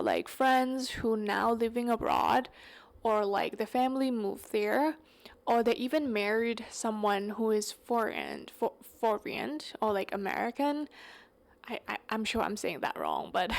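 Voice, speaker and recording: A young woman from Thailand with a Thai accent, English not her first language, rambling casually through a solo podcast with many fillers and self-corrections. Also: fairly high pitch. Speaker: podcast host.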